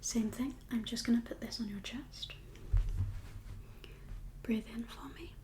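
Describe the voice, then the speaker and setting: A woman whispering an ASMR medical roleplay as a kindly doctor, gentle and reassuring in an unhurried, professional way. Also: fairly high pitch, fairly even intonation. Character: kindly doctor for undead patients. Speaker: ASMR performer playing a doctor.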